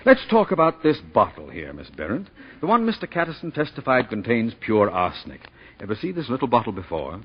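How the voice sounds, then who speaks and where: A man's voice: medium pitch, sing-song, a little fast, husky. An actor playing a relentless, sardonic prosecutor in 1940s radio drama style, insistent and pointed as he questions. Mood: pressing, sly. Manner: insistent, pointed, theatrical cross-examining. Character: relentless, sardonic male prosecutor grilling witnesses in court. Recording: muffled 1940s radio broadcast recording.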